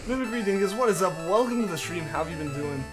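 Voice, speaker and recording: A man's voice: high-pitched, expressive, quick pace. Young man chatting casually, slight cough. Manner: rambling, filler-heavy, repeats himself. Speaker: streamer. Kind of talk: casual live-stream chat with viewers. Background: music playing underneath.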